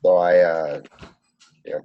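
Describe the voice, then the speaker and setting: A man's voice: low voice, flat delivery, slow pace. A congested man chatting and joking with teammates over a video call, laughing often. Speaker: student team member. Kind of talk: casual video call chat. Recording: dull lo-fi call audio.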